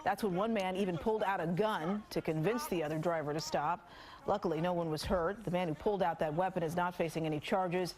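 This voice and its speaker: A woman's voice: lowish voice, fairly even intonation, brisk. A woman with an American accent reading the news as a TV anchor. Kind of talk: scripted news reading with light co-anchor banter.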